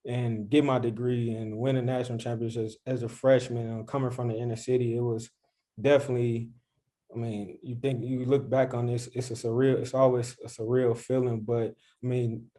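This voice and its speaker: A man's voice: medium pitch, flat delivery, moderate pace, warm tone. A young man from Chicago giving a conversational answer as a panelist. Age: young adult. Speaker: panelist, former youth baseball player.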